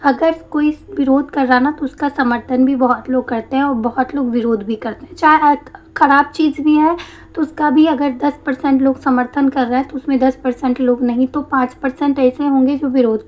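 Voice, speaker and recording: Woman, high-pitched, slightly hoarse, patient classroom explainer, asks then answers her own questions. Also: fairly even intonation, moderate pace, darkish tone. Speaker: teacher. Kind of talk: exam-prep history lesson.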